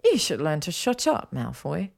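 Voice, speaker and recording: Woman acting a sassy, taunting young woman, lilting high. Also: wildly swooping pitch, moderate pace, gravelly, darkish tone, heavily acted. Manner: taunting, playful. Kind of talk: fan fiction audiobook dialogue.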